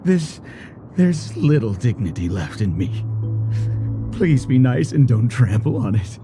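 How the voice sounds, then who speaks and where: A man playing an excitable, stammering guard in a video game, with theatrical delivery. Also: medium pitch, a little slow. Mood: despondent, pleading. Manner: gushing, stammering, breaking into giggles. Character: overexcited, giddy Bloodhound guard drunk on delight. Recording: music behind the voice. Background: game music.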